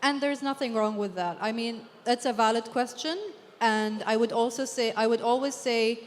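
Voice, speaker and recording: A woman's voice: a little high, normal speed. Woman, native Arabic speaker, earnest panel talk, echoing hall, faint hum. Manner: earnest, thinking aloud. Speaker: author on a book fair panel. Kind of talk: panel discussion. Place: large hall at a book fair. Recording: long hall reverb, faint background hum.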